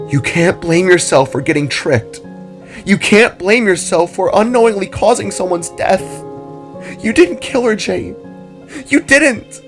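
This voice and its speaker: A man's voice: sits high, expressive, a little slow, soft background music, pitched above the performer's own voice. A man voicing a kind young female animal character in a dramatic story, hesitant and sad as she speaks. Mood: tender reassurance. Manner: soft, hesitant, stammering, comforting.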